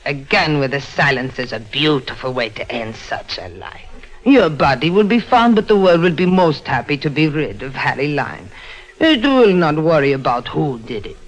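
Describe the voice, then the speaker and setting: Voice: mid-range voice, sing-song, normal speed, natural voice, poor audio. An actor in a 1950s radio drama playing a formal, sinister French blackmailer, a woman disguised as a suave man. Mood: suave menace. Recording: old radio recording.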